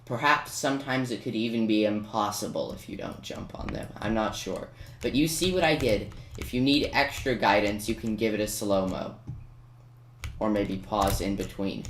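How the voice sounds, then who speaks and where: A man's voice: lowish voice, moderate pace, a bit bright. A man giving casual, self-mocking and playfully boastful commentary over his own gameplay. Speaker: gaming video maker. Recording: roomy, noisy home recording with keyboard clicks.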